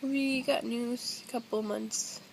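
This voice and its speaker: A young woman shares something personal in a halting, casual way. Her voice is high with plenty of vocal fry, and faint noise sits behind it in a simple home recording. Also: steady tone, low-quality recording. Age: young adult. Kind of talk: personal confessional vlog, casual talk.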